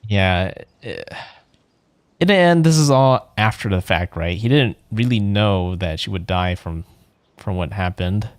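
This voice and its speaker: Low-voiced man casually commenting on a game. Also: animated, measured pace, dry recording, clean studio sound. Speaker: game streamer. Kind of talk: casual let's play commentary.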